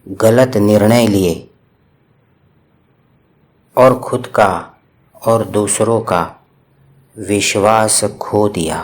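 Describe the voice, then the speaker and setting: Very slow, calm male meditation guide. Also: on the low side. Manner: slow, calm, soothing instructions with long pauses.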